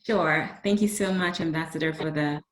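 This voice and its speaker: Female panelist, thirties, low voice, measured explanatory delivery, echoey room. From Ghana. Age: 30s. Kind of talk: considered panel remarks.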